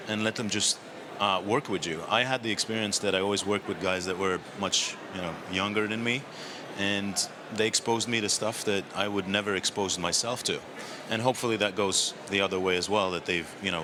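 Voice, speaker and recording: A man answering interview questions as an industry guest, relaxed and thinking aloud with ums. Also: on the low side, brisk, background noise. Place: conference studio.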